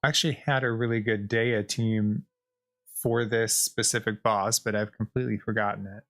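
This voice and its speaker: A man's voice: lowish voice, little pitch movement, normal speed, a bit bright. A man giving casual, chatty gameplay commentary as a streamer, often addressing the game characters. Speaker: gaming streamer.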